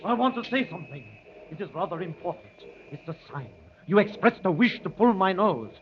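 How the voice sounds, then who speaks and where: A man's voice: high-pitched, expressive, fairly quick, a little hiss or hum. An actor playing a haughty, irascible nobleman in a pompous, blustering 1930s radio drama style. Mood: imperious. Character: haughty, irascible male nobleman who is secretly a policeman. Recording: muffled 1930s radio recording.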